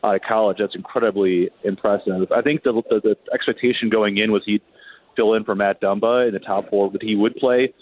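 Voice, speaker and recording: A man's voice: fairly low pitch, steady tone, brisk, slightly rough. A man speaking as a reporter guest on a sports show, talking through hockey analysis in a hesitant, word-repeating conversational way. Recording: thin phone line, roomy echo.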